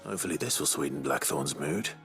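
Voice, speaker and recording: A man playing a gruff, terse but courteous swordsman in a video game, with a low gravelly voice. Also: fast talker, fairly bright tone. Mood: calm, terse. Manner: terse, understated. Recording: game music under the voice.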